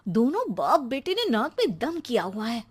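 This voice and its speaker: A woman voicing a harried, sarcastic mother who nags and scolds loudly in a cartoon story. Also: huge pitch swings, normal speed, breathy edge, full character voice. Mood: scolding. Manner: shrill, nagging, sarcastic.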